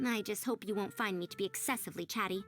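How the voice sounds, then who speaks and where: A woman's voice: medium pitch, fast talker, over music, natural voice. A woman playing a prim, proud but kindly young-sounding researcher in a video game, speaking formally and articulately in wordy, old-fashioned phrases. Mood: warm, composed. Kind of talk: scripted video game character dialogue.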